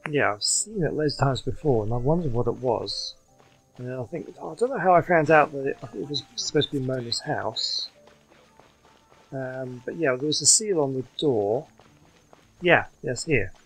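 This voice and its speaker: A man's voice: mid-range voice, lively intonation, moderate pace, soft background music, rough recording. A man hosting a gaming video, chatting informally as he plays. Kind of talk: casual game commentary.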